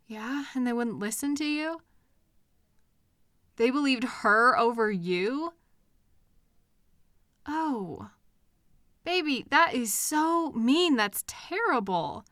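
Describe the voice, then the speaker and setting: A woman's voice: sits high, no room sound, clean studio sound, full character voice. A woman playing a caring partner in an intimate comfort roleplay, speaking tenderly and expressively straight to the listener. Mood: sympathetic, indignant. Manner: tender, expressive, with soft pauses and questions. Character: caring, gently indignant woman comforting her upset partner. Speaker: audio roleplay performer. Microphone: close microphone in a dry room.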